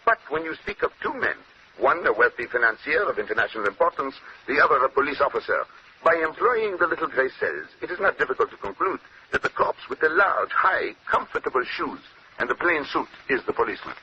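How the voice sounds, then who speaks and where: A man acting as a courteous Belgian detective with a French-Belgian accent. His phrasing is formal and self-assured, with very lively intonation. It sounds like a muffled, noisy old radio drama recording. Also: mid-range voice, natural voice. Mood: assured, explanatory. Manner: formal, precise, unhurried foreign phrasing.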